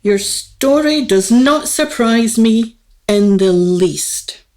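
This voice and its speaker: A woman's voice: a little high, animated, takes it slowly, darkish tone. A woman playing a young, gracious and candid queen in a radio play, with formal, poised phrasing. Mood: confiding, resigned. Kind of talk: theatrical radio play dialogue, courtly.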